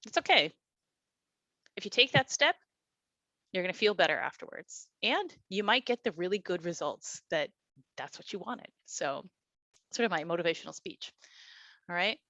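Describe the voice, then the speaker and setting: A woman's voice: fairly low pitch, animated, normal speed, pauses often, pushed and bright, no echo. A woman teaching an online class, talking in an encouraging, conversational way with many fillers over a video call. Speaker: class instructor.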